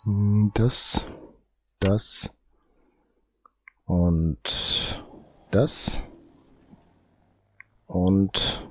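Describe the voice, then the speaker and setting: Slow, low-voiced man with a cold. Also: fairly even intonation, bright, forceful tone, dry recording. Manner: muttering, drawn-out, tags lines with "ne?". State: has a cold. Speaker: let's play host. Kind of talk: slow, thinking-aloud gameplay commentary.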